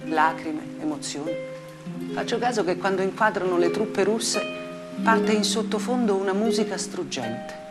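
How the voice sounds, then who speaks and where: An actress playing a grave, bitterly ironic woman journalist in a theatrical monologue, speaking deliberately in short, weighted phrases. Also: medium pitch, expressive, natural voice. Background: music.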